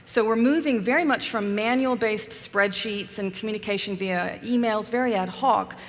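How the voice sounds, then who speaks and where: A woman's voice: mid-range voice, moderate pace. A woman with a New Zealand accent, a guest speaker being interviewed on a conference stage, talking in a composed, businesslike way. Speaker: customer guest on keynote stage. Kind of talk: onstage interview. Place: large conference hall stage. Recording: long hall reverb.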